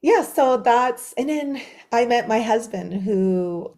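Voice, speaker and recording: A woman's voice: on the high side, animated, slow, darkish tone. Bubbly middle-aged woman telling her story. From Quebec City, Canada. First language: French. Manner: bubbly, rambling with many fillers and laughs. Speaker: guest author. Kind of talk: conversational storytelling.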